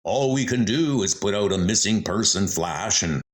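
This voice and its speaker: A man's voice: lowish voice, animated, normal speed, natural voice. A man playing a terse, weary police detective in a comic mystery dub, with a brusque manner. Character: terse, weary male police detective on missing-person case.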